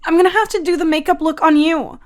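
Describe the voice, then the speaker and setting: Female narrator as teenage girl, high pitch, whiny, sarcastic, hot-tempered, storybook drama. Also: fairly even intonation, quick pace. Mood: exasperated. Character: Angela, exasperated bossy teenage sister, hot-tempered and sarcastic.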